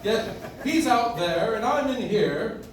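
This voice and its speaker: Kindly, principled old man played by a man, high and lively, stage radio drama, echoing room recorded from afar. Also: takes it slowly, full character voice. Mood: dejected. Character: kindly, indignant old man believing himself Santa Claus. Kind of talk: live stage radio play. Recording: roomy, distant audience recording with noise.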